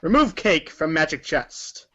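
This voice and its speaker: A man's voice: on the high side, sing-song, unhurried, fairly bright tone, a little hiss or hum. A man reading scripted narration for a read-along story performance, in a dull recording.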